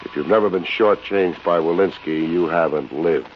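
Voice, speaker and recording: An actor playing a wisecracking, deadpan private investigator with an American accent, in the style of fifties radio detective drama. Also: male voice, deep voice, normal speed, a little hiss or hum, close to an everyday voice. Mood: wry narration. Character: wry, hard-boiled male private investigator. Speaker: radio detective lead and narrator. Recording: dull vintage radio recording, music behind.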